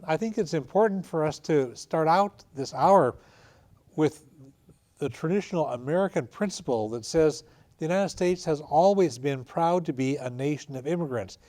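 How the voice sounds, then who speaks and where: A man hosting an interview program, introducing his guests and asking questions in a measured way. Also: fairly high pitch, a little mellow. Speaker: radio show host.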